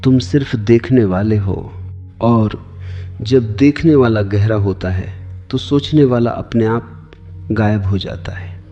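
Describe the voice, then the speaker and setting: A man narrating a guided sleep meditation as spiritual discourse, giving soothing, deliberate instructions in short phrases with pauses. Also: on the low side, mellow. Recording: long reverb, slightly dull, music behind.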